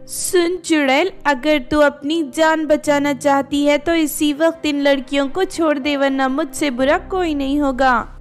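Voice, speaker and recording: A woman's voice: sits high, fairly even intonation, unhurried, few pauses, soft background music, voice pushed up. A woman voicing a bold, stern good fairy with a commanding way of talking in a children's tale. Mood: stern warning. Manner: commanding, scolding.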